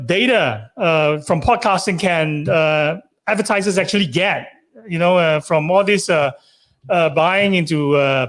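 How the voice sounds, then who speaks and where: High-voiced man hosting an interview. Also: fairly bright tone. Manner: halting, wordy, often tagging phrases with "you know" and "right". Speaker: podcast host interviewing a guest. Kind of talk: conversational interview with long questions.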